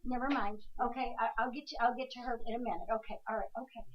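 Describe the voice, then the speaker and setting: A woman working as a medium, giving quick, chatty readings to a live studio audience and firing off questions. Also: on the high side, steady tone, lo-fi sound, a little hiss or hum. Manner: brisk, questioning, quick to laugh, talks to the crowd. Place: stage before a studio audience.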